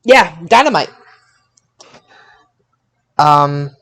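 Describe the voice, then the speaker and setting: A man co-hosting a casual wrestling podcast, excitable and stammering, often repeating his phrases. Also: on the high side, very slow. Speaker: podcast co-host.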